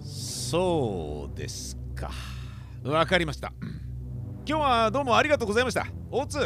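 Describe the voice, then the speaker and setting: A man's voice: sits high, huge pitch swings, normal speed, fairly bright tone, faint music behind, full character voice. A man playing a cocky, lecherous police detective in an audio drama, sliding between formal politeness and crude banter. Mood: sly, polite. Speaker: voice actor.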